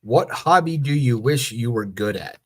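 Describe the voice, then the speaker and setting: A man's voice: mid-range voice, expressive, moderate pace. An American man co-hosting a podcast, talking in a casual, conversational way. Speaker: podcast co-host.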